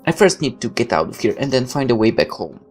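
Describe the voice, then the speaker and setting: A man with a mid-pitched voice reads a story game aloud as a let's player. He narrates expressively and slips into casual asides of his own. Music plays behind his voice. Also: very animated, quick pace. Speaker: gaming let's player reading a visual novel aloud.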